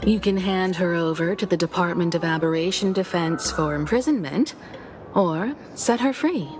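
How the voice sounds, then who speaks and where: A woman voicing a calm, mysterious female guide in a video game, measured and cryptic. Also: on the low side, close to an everyday voice. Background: loud game music.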